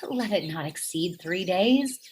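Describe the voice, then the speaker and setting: A woman playing an earnest, affectionate, persistent noble wife in a Shakespearean radio play, expressive and pleading. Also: on the low side, normal speed, slight background noise, lightly acted. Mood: pleading, coaxing.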